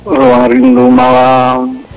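A man's voice: very high-pitched, completely flat delivery, extremely slow, darkish tone, poor audio. A man with an eastern Indonesian accent from Maluku, calling in to a radio show to chat casually and send greetings. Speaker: phone-in caller to a radio show. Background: music clearly in the background.

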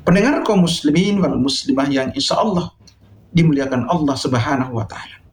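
Echoey, higher-voiced male preacher. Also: a little hiss or hum. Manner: solemn, exhorting, deliberate with emphatic phrasing. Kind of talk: sermon addressed to listeners. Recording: echoey, reverberant sound.

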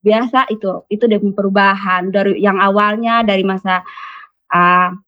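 Halting woman guest, dull radio sound. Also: mid-range voice, steady tone, no room sound. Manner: casual, halting, with restarts. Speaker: children's mentor guest. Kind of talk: radio talk show guest.

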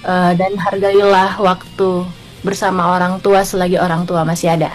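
A woman's voice: on the low side, little pitch movement, moderate pace, soft background music, rough recording. A woman acting in a film, giving hesitant radio interview answers with many fillers. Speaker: film actress, guest.

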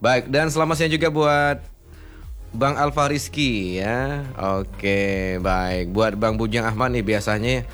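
A man hosting a relaxed radio program, chatting easily with his co-host and sending greetings to listeners by name. His voice sits at an ordinary middle pitch for a man. Soft music plays faintly behind him in the studio. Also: takes it slowly. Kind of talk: casual radio chat.

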